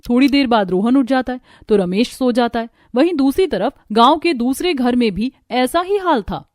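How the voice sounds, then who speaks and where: A woman storyteller reading scripted narration for an animated tale. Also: on the high side, moderate pace, no echo.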